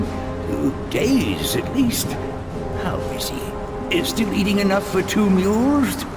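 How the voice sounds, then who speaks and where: A man playing a fussy, absent-minded elderly librarian monk who rambles and chides in a fan-dubbed adventure game. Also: on the high side. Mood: chatty, sidetracked. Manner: rambling, dithering, chiding, easily sidetracked.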